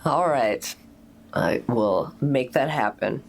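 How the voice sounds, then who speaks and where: A man's voice: mid-range voice, expressive, slow, creaky, a little hiss or hum. A man playing a tabletop roleplaying game, chatting casually as a player.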